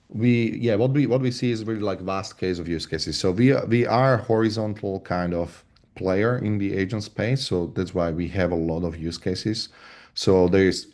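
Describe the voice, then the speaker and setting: Man in his thirties from Slovakia; low, mellow voice, halting and full of restarts, on a video call. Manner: halting, many restarts and fillers. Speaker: voice agent platform lead. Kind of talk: casual panel discussion.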